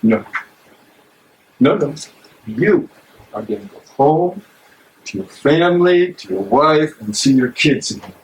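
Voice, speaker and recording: An actor playing a gentle, calm German pastor in prison, speaking in a measured way in a play reading. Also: male voice, on the high side, rough recording. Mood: calm, consoling. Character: calm, consoling German pastor imprisoned in wartime. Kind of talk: dramatic reading of a stage play.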